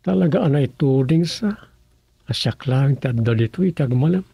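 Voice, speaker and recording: A man with an Ilocano Filipino accent playing a musing, grumbling local man in a radio comedy drama, his voice husky and gravelly. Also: medium pitch, expressive, moderate pace, warm tone, no room sound. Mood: muttering.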